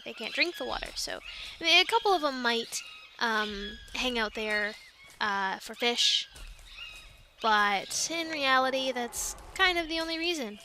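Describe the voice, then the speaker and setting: A woman playing a plucky young island castaway in an ASMR roleplay, chatting in an animated, halting, stammering way. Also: fairly high pitch, noisy background. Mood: chatty, explaining. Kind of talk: ASMR roleplay, conversational one-sided dialogue.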